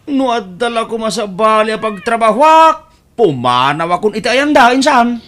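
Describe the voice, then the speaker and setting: A man's voice: high-pitched, sing-song, normal speed, a bit bright. A man with an Ilocano accent playing a put-upon, indignant poor relation in an audio drama, exclaiming and grumbling. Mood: fed up.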